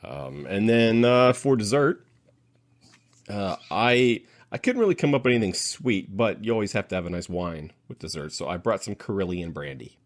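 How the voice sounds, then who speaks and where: A man hosting a casual panel chat, speaking in a rambling, halting way as he thinks aloud. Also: lowish voice, lively intonation. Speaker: podcast host.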